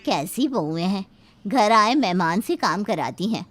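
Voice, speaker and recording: A woman's voice: mid-range voice, expressive, a little slow, fairly bright tone, soft background music. A woman playing a put-upon, grumbling middle-aged aunt in a moral story. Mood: indignant. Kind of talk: scripted Hindi moral story acting.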